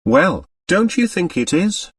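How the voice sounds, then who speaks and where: A man plays a sensible, robust woman in a storybook, steadying someone with brisk, tactful reassurance. The pitch moves in a lively way, and the voice sits slightly off the microphone. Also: breathy edge, no echo, clean studio sound, distant mic, full character voice. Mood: frank. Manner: brisk, matter-of-fact, reassuring.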